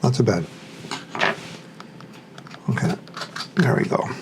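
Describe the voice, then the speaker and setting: A man's voice: mid-range voice, animated, slow, gravelly, a little mellow, a little hiss or hum. A man giving casual hands-on unboxing commentary, muttering to himself as he works with his hands. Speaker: hobbyist reviewer.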